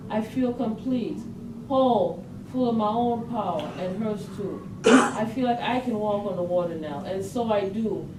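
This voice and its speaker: A woman's voice: fairly high pitch, lightly acted. Woman acting dreamy Southern grandmother, incantatory, folk vernacular, poetic stage monologue, distant mic, roomy echo. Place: live staged reading in a room. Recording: distant mic, echoey room, clear background noise.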